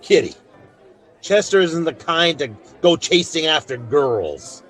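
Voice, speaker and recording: Plainspoken Western marshal, lively pitch. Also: male voice, takes it slowly, faint music behind, heavily acted. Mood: flustered, amused. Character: male frontier marshal, plainspoken, authoritative, fair-minded. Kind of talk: old-time radio western acting.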